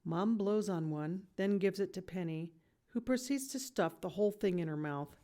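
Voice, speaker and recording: A woman with a slightly low voice reads a children's novel aloud. Her delivery is expressive storybook narration. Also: normal speed.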